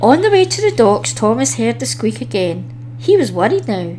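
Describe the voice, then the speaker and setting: A woman reading a children's story aloud as an expressive storyteller. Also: a little high, moderate pace, slight background noise.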